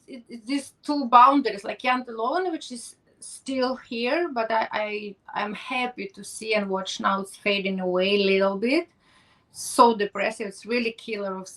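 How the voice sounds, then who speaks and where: Bright-voiced woman hosting an interview. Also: medium pitch, low-quality recording. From not from Norway. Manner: searching for words, many restarts. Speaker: podcast host. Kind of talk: interview conversation.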